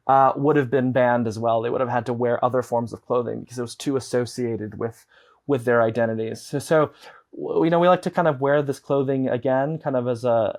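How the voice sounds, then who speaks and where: An earnest young man from the United States, speaking at a middling pitch as he explains things at length. He talks in a measured way, and his voice comes in through wired earbuds on a home video call. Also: a little mellow. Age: young adult. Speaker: guest, advocate. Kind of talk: explanatory interview talk. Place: indoor home room.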